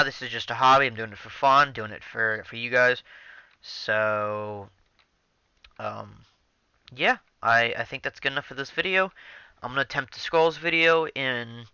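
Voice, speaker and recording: A man's voice: mid-range voice, unhurried, long pauses, bright, forceful tone, clear, resonant tone, no echo, dull audio. A young man casually talking over his gameplay into a headset mic, rambling with many ums and restarts. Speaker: gaming channel host.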